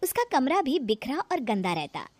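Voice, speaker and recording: A woman's voice: high voice, big pitch swings, moderate pace, a little mellow, no room sound. A woman narrating a scripted moral story as the storyteller.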